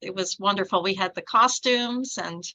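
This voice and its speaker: A woman's voice: medium pitch, moderate pace, pushed and bright. A middle-aged American woman, a law professor, reminiscing in conversation with many fillers and restarts. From United States.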